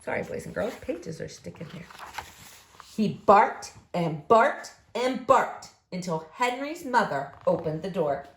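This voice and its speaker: A woman reading a storybook aloud to young children in an expressive, emphatic, deliberate way. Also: medium pitch, creaky. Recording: roomy echo, faint background noise.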